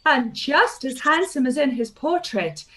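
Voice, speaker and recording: A woman playing a lively, curious aunt. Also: high voice, a little slow, exaggerated voice, low-quality recording. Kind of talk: live-read radio play.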